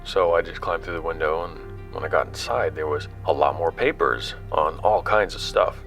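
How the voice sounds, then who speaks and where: An actor playing a curious explorer in an audio drama, speaking conversationally and haltingly as he records his expedition. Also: sits low, a bit bright. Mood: reflective. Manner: halting, conversational, stumbles over words. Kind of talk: audio drama acting, hesitant recorded-log narration. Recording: music bed under the voice.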